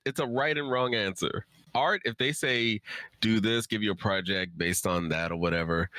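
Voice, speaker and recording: A man's voice: on the low side, bright, forceful tone, dry recording. A man chatting casually as a guest on a livestream, speaking in an emphatic, effusive way. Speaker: guest writer.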